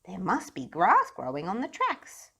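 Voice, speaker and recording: A woman voicing a stern railway man in a storybook, speaking slowly and with emphasis. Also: medium pitch, huge pitch swings, vocal fry, sharp tone, husky, exaggerated voice. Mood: puzzled. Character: stern railway man in charge of young locomotives.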